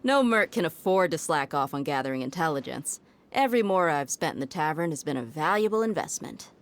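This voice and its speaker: A woman's voice: deep voice, sing-song, fairly quick. A woman voicing a confident, streetwise female mercenary in a video game, casual and self-assured, with music behind. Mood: breezy, firm. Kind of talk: game character voice acting.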